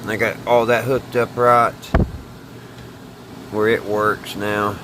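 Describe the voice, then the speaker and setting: A man with a Southern US accent talking casually and plainly through a hands-on repair video, folksy in manner. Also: fairly low pitch. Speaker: host of a radio repair video. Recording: steady background hum and radio noise.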